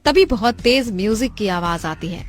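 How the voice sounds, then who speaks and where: A woman's voice: medium pitch, animated, moderate pace, faint music behind. A woman reading scripted story narration as the narrator.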